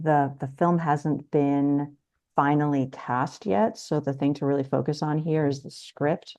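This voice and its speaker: A woman's voice: deep voice, darkish tone, no room sound, dull audio. A woman screenwriter talking casually and a little hesitantly as she introduces her work. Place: video call.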